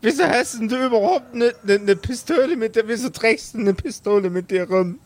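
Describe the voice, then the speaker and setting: A man's voice: high voice, a little fast, close to an everyday voice. A man playing a flustered investigator who stammers and scolds his partner in an improvised comedy audio drama. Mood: agitated, scolding. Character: flustered, nagging, high-voiced investigator grumbling at partner.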